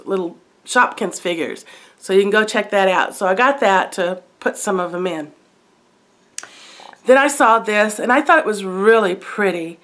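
A middle-aged woman talks casually to a camera close by in her living room, her voice stuffed up from a head cold. She rambles in a friendly, unhurried way with plenty of ums and little asides. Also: mid-range voice, fairly bright tone. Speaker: haul vlogger. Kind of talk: casual show-and-tell chat. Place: living room by a brick fireplace, indoors.

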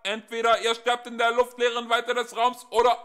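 A man voicing a pompous, shrieking male alien poet in a drawn-out, grating way. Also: extremely high pitch, monotone, fairly bright tone, clean tone, pitched above the performer's own voice. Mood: menacing.

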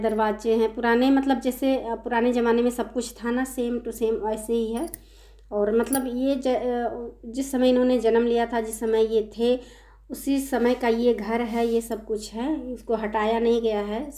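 A woman's voice: a little high, dark tone. A woman narrating a temple visit as a vlog voice-over, chatty with many fillers, her throat a little sore. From India. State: sore throat, occasional cough. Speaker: vlogger. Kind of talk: casual travel vlog voice-over. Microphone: voice-over recorded separately.

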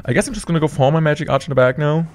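A young man streaming gameplay from a room indoors, talking through each move in steady play-by-play with excited bursts at big plays, a little sick. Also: fairly high pitch, very fast. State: slightly sick. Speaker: game streamer. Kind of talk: live gameplay commentary.

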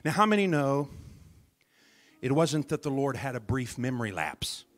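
A man's voice: medium pitch, big pitch swings, moderate pace, faint music behind. A man preaching a sermon as minister at a church service, dramatic, dropping his voice to near whispers for emphasis and calling out to the congregation. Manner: calls out to the congregation.

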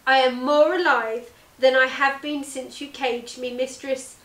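A wistful, clever caged parrot as a woman reading a storybook plays it. The voice is fairly high, polite and earnest, with measured phrasing. It sounds in a roomy, echoey space. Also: steady tone, a bit bright. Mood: grateful. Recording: roomy indoor echo.

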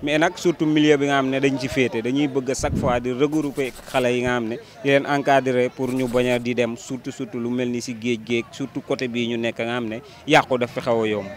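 Even-toned young Senegalese man interviewed. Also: medium pitch, a little fast. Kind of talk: on-camera interview. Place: outdoors on a sandy football pitch. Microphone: handheld reporter microphone.

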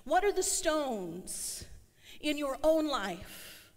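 A middle-aged woman pastor delivering an earnest sermon, slowing down to stress her refrains, recorded in a church sanctuary. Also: high voice, big pitch swings. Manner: earnest, slows for emphasis on refrains. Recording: long church hall reverb.

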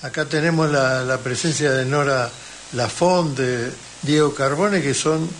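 A man's voice: medium pitch, unhurried, slight background noise. A man with an Argentine accent hosting a casual live radio interview. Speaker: radio host.

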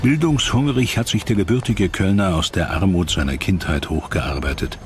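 A man's voice: low voice, moderate pace. A man giving scripted historical documentary narration as the programme's narrator. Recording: loud music under narration.

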